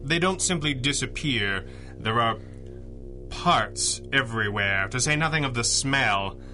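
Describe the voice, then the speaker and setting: A confident masked boss hero in an old-style radio adventure. He sounds dry and composed, with a crisp, forceful tone over music. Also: medium pitch, lively intonation, unhurried. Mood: assured. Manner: dry, articulate, unruffled. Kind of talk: 1930s-style radio drama acting.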